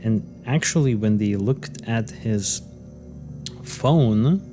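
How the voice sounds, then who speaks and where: A man's voice: on the low side, takes it slowly, music in the background. A man co-hosting a podcast, recounting a case in loose, hesitant casual chat full of fillers and restarts. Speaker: podcast co-host. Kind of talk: casual conversational storytelling.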